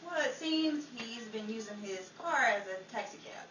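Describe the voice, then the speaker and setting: Man playing helpful, easygoing pal for retro radio comedy; animated pitch, noisy recording. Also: normal speed, far from the mic, exaggerated voice. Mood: amiable, wry. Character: easygoing, helpful friend in a 1950s Hollywood circle. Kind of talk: old-time radio comedy acting. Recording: echoey, noisy, off-mic with crowd sound.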